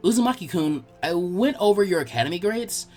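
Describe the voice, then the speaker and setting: A man's voice: high-pitched, lively intonation, fairly quick, darkish tone, faint music behind. A man voicing an eager, bookish girl archivist in a fan fiction reading, proud, fussy and insistent.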